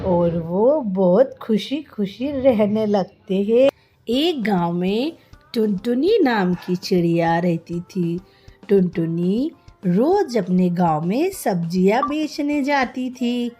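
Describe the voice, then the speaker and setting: Woman, children's story narration, mid pitch, slow pace, mellow, light background music.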